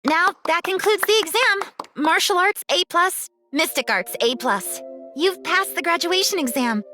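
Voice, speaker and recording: A woman's voice: very high-pitched, expressive, unhurried, full character voice. A woman playing a breezy, carefree young martial arts master in an anime-style game, teasing and exclamatory. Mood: gentle, teasing. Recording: loud music behind.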